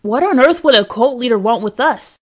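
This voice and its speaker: Woman as high-pitched whiny anime boy. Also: lively intonation, fairly quick. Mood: sulky, dry. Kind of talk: anime parody dub.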